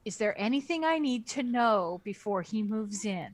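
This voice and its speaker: A woman's voice: mid-range voice, lively intonation, normal speed. A woman co-hosting a comedic book club chat, bantering in a dry, sardonic way.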